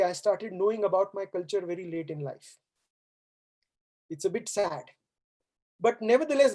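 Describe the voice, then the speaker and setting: A woman's voice: a little high, darkish tone. A woman from India whose first language is Hindi, giving a formal valedictory speech as a guest speaker at a university event, earnest and exhorting, with rhetorical questions. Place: university auditorium.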